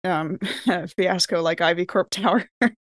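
A woman's voice: fairly low pitch, a little slow, dry recording, studio quality. A woman playing a tabletop role-playing game, thinking aloud in casual speech as she plans what her character will do.

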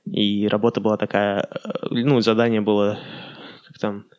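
A man's voice: on the low side, little pitch movement, moderate pace, darkish tone, clean tone, highs cut off. A young man hosting his own podcast, talking casually and loosely with many fillers and English words mixed in. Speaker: podcast host. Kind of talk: casual solo podcast monologue.

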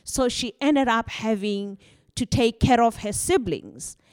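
A woman's voice: a little high, lively intonation, takes it slowly, darkish tone, no echo. A woman answering an interview question in an earnest, reflective way. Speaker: interview guest.

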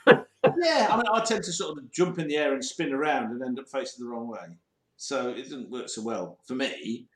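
A man co-hosting a podcast, jokey and animated in casual banter, in an echoey room. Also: medium pitch, moderate pace. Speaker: podcast co-host.

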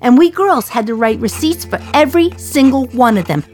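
An actress playing a proud, passionate working-class communist prisoner in a radio play monologue, talking in a colloquial, emphatic, storytelling way. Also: female voice, high voice, lively intonation, fairly quick, darkish tone, soft background music. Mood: proud, reflective.

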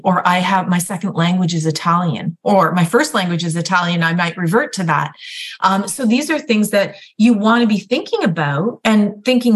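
A woman who is a nurse practitioner and healthcare ethicist, explaining things as a guest in a chatty way, with frequent fillers and restarts. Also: fairly low pitch. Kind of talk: explanatory conversational guest talk.

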